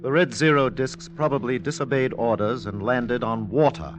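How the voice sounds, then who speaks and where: An actor playing a composed, patronizing alien spaceship commander in clipped 1950s radio style. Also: male voice, mid-range voice, moderate pace, lightly acted. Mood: detached. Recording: dull old radio recording, ringing tones behind.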